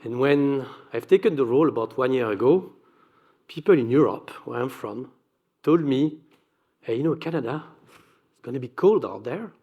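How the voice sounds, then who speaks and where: A man's voice: medium pitch, lively intonation, normal speed, long pauses, phone-quality sound. A man from Belgium with a French accent, a non-native English speaker, giving a friendly talk to an audience. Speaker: keynote speaker. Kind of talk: speech to an audience.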